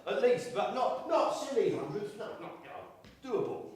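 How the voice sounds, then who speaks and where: A man's voice: very high-pitched, expressive, moderate pace, voice pushed up. A man voicing a flustered, defensive man who stammers and repeats his reassurances in live storytelling. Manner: stammering, repetitive. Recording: echoey hall, distant mic, faint noise.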